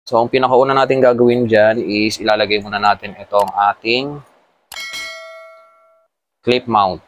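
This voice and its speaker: A man's voice: fairly low pitch, steady tone, moderate pace. A man with a Filipino accent giving a casual hands-on tutorial as a motovlogger, explaining step by step. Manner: explanatory, mixing Tagalog with English terms.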